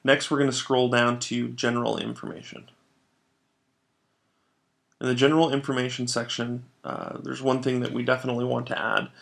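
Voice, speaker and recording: A man with a noticeably creaky, fry-edged voice at an average pitch walks through software as a trainer. His delivery is instructional, in the step-by-step style of a screencast tutorial, explaining the reasons as he goes.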